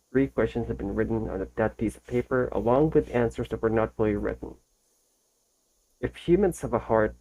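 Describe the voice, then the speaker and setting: Mellow-voiced man reacting and reading aloud. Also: mid-range voice, low-quality recording. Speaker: reaction video host. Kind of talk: casual reaction commentary and reading text aloud.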